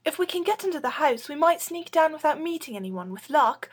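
A plucky storybook schoolboy, acted by a woman, high in pitch and full of brisk urgency. He bosses like a big brother, in short commanding phrases. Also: sing-song. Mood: urgent encouragement. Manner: brisk commands in old-fashioned schoolboy slang. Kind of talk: storybook character reading.

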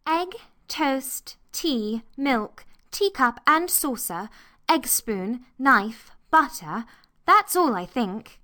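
A woman doing a high eager little girl. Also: animated, extremely slow, pushed and bright, full character voice. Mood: careful. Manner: eager, apologetic, tumbling words. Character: earnest fretful young girl Anthea.

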